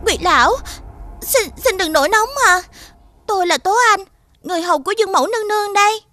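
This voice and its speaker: Very high-voiced young fairy girl. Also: quick pace, sharp tone, soft background music. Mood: anxious urging. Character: young servant fairy girl, pleading and playful. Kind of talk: animated fairy-tale voice acting.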